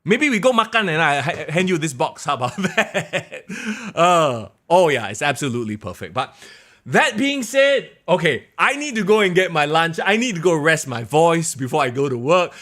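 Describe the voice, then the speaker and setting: Young male host, Singaporean English accent, slightly high voice, hoarse, excitable solo stream chat. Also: normal speed. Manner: excitable, animated, drops to low mutters. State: hoarse, losing his voice. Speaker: wrestling podcast host. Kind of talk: solo live-stream chat reading viewer comments.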